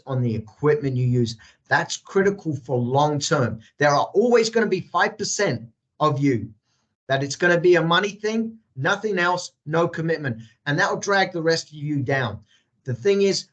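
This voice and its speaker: A man's voice: fairly high pitch, highs cut off. A man from the United States, a former fire captain, giving earnest, emphatic advice as a webinar guest over a video call. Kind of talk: advisory talk on a webinar.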